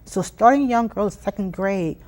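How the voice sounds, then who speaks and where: A woman's voice: mid-range voice, moderate pace, a little mellow. Earnest American woman explaining in an interview. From Philadelphia, United States. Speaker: interview guest, youth STEM program leader. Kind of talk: conversational interview answers.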